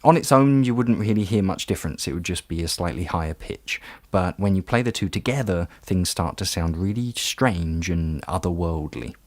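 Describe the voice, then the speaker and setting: A man's voice: sits low, expressive, normal speed, few pauses, a little mellow, no echo. A man narrating an informal step-by-step tutorial as an audio tutor, in a conversational, explanatory way.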